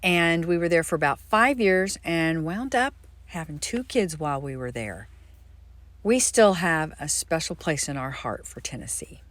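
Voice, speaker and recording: A woman's voice: sits low, lively intonation, unhurried, a bit bright, no room sound. Chatty older woman, dry close sound. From Florida. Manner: chatty, friendly, reminiscing. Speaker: doll craft vlogger. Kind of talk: casual personal storytelling.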